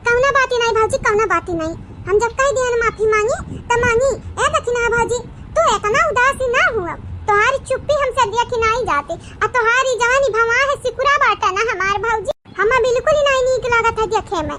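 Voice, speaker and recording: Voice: extremely high pitch, a little fast, pushed and bright, a little hiss or hum. A performer voicing a teasing, bossy village sister-in-law in a comedy cartoon, dramatic and insistent, with an eastern Uttar Pradesh accent. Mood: mock sympathy.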